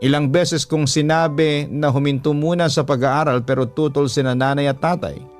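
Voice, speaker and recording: A man's voice: fairly high pitch, fairly even intonation, moderate pace, soft background music. A man working as a radio storyteller, narrating a listener's letter in the first person in a dramatic style.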